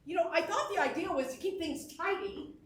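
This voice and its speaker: An actress playing a grand, demanding stage star, irritable and complaining, in a musical theatre reading. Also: female voice, very high voice, brisk, roomy sound, far from the mic, slight background noise. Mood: irritated, imperious. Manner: imperious, complaining.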